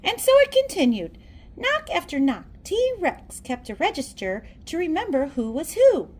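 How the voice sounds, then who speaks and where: High, very animated woman storyteller. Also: a little hiss or hum. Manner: theatrical, deliberate storytelling. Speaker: storybook reader. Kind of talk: children's read-aloud narration.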